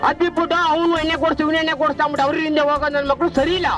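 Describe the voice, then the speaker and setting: A man's voice: very high voice, little pitch movement, very fast, fairly bright tone, soft background music. A man with a southern Karnataka Kannada accent speaking off the cuff as a local resident, blunt and exhorting. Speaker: local resident addressing voters. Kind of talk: spontaneous street speech.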